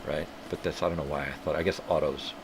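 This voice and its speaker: Older man, very low voice, sniffly, rambling off-topic, steady hum behind. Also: quick pace. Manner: tangent-prone, casual and profane. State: sniffly from a cold. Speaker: livestream host. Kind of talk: rambling live-stream monologue. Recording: clear background hum.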